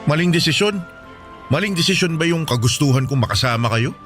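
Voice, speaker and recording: A man's voice: a little high, lively intonation, fairly quick, close to an everyday voice. Actor as pleading homesick Filipino seaman, music behind. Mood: hurt, protesting. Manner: hesitant, pleading. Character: homesick young seaman husband, anxious and pleading. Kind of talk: radio drama dialogue. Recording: music bed under the voice.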